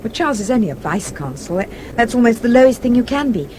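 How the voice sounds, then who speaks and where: A woman's voice: a little high, big pitch swings, fast. An actress playing a polite, curious young English woman in a radio drama, with an English accent. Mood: friendly, chatty. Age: young adult. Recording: radio play with clear background noise.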